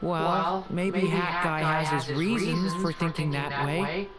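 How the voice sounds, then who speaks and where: Young dragon boy, innocent, polite, tentative, lowish voice, game dialogue, loud music behind. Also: fairly even intonation, slow pace. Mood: thoughtful. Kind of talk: video game voice acting.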